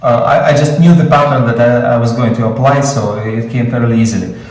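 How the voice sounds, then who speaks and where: Russian-accented man, teaching screenwriting, halting with fillers, echoey distant room. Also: medium pitch, fairly even intonation. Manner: halting, many fillers and restarts while explaining. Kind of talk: improvised webinar teaching. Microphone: video call.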